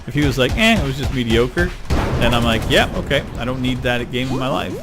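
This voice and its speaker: A middle-aged man rambling casually while playing a video game, getting excited over loot. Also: mid-range voice, fairly quick. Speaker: gaming YouTuber. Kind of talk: casual let's-play commentary. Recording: game music behind voice. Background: game music.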